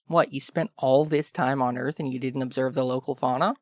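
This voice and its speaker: A man's voice: medium pitch, steady tone, brisk, no echo, studio quality, natural voice. A man playing a cocky, unbothered captured revolutionary in an audio fan fiction, flippant and sarcastic. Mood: amused. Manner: flippant, laid-back, sarcastic.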